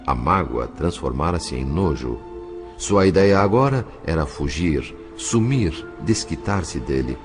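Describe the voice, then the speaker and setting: A man's voice: extremely low pitch, lively intonation, unhurried, faint music behind. A male actor narrating solemnly and dramatically as a spirit in a Brazilian audio drama, with a Brazilian accent. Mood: grave, dramatic.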